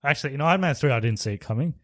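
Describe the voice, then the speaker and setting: A man's voice: medium pitch, animated, racing, no room sound, studio quality. A man co-hosting a podcast, casually reviewing a movie in a rambling, laid-back way. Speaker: podcast co-host.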